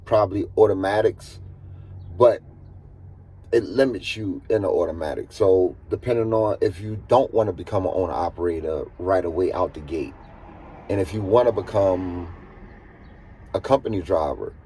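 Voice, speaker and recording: Deep-voiced American man, casual vlog chat. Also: normal speed, mellow. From eastern United States. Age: 30s. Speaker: vlogger, truck driver. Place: inside a truck cab. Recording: clear vehicle noise behind voice.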